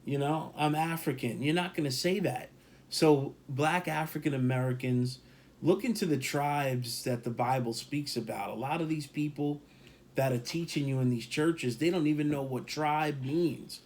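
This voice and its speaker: A man's voice: fairly high pitch, normal speed, darkish tone. A man talking casually as a podcast co-host, emphatic and rambling, repeating points for stress. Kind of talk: casual opinion talk.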